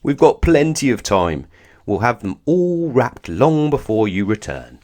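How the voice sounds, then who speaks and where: A man voicing a jovial, carefree old Father Christmas from a storybook, booming and hearty, breezily persuasive. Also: sing-song, unhurried, darkish tone. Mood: reassuring.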